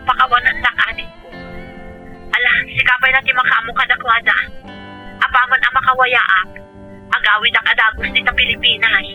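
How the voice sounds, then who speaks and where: A woman's voice: a little high, flat delivery, moderate pace, pauses often, pushed and bright, highs cut off, soft background music. A woman with a Filipino accent playing an adult elder sister, emotional and reluctant, in a radio drama style. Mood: apologetic, subdued. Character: adult elder sister working abroad, reluctant then apologetic. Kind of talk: Ilocano radio drama acting.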